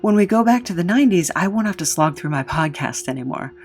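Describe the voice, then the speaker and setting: A woman's voice: fairly low pitch, lively intonation, fast, a little mellow, faint music behind. A woman playing a quirky, self-loathing drifter with a rambling, sardonic, conversational delivery in an audio drama. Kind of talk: naturalistic audio drama acting.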